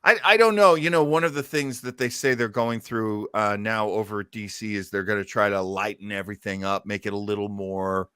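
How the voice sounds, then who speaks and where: A man hosting a casual podcast chat, enthusiastic and quick to prod others with questions. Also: on the low side, fairly even intonation, a bit bright, smooth, no room sound, studio quality. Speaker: podcast host. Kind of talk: casual chat about old radio and films.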